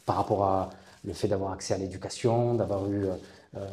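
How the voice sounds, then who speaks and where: A man in his late thirties from southern France, a guest telling his own story in a thoughtful, halting way with many pauses and restarts. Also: deep voice, fairly even intonation, darkish tone, reverberant. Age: late 30s. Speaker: interview guest. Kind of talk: reflective personal storytelling.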